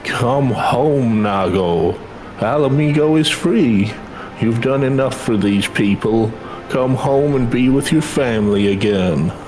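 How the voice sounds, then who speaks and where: A man's voice: mid-range voice, lively intonation, slow pace, darkish tone, faint music behind, low-quality recording. A man voicing a caring, worried and insistent mother in video game dialogue. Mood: worried, pleading.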